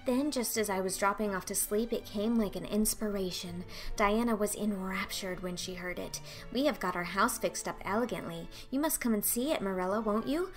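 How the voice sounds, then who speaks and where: A woman voicing an excitable, dreamy young girl who talks in a breathless, gushing, dramatic way for an audiobook. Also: mid-range voice, moderate pace, faint music behind, lightly acted. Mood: dreamy chatter. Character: excitable, dreamy, talkative eleven-year-old orphan girl. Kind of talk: audiobook character acting.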